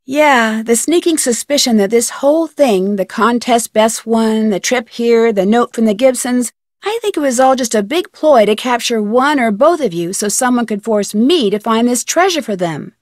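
A woman's voice: a little high, animated, moderate pace, no echo, studio quality, close to an everyday voice. An actress playing an inquisitive, polite young woman detective in an adventure game, speaking earnestly. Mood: curious, concerned. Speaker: video game lead character. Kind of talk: scripted adventure game dialogue.

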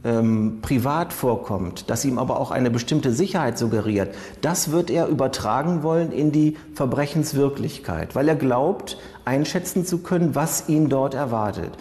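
A man giving analytical interview commentary as a criminal profiling expert, in formal, deliberate phrasing. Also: mid-range voice, lively intonation, darkish tone. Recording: long room reverb.

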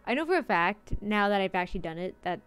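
A woman with a mid-pitched voice reads visual-novel narration aloud as a streamer. She drops into casual asides, and music plays softly behind her. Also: animated, fast, clear, resonant tone. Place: indoor streaming room.

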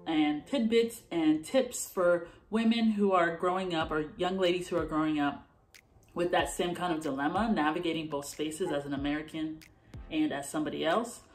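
Low-voiced young woman vlogging at home. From born in Canada, raised in the US. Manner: animated, gestures a lot while talking. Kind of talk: casual talk to camera. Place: bedroom at home, indoors.

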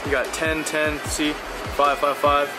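A young man who works in a commercial kitchen, calmly explaining his task step by step. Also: a little high, takes it slowly. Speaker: kitchen worker. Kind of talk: casual explanation. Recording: loud background music.

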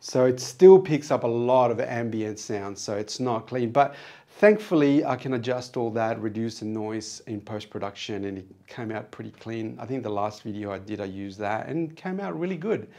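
A man's voice: mid-range voice, normal speed, warm tone. A middle-aged man with a moderately nasal voice, chatting casually and in a rambling way as he explains things in a vlog. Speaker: vlogger. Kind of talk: casual vlog, gear walkthrough. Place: small acoustically treated home studio, indoors. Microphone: wireless clip-on mic on chest.

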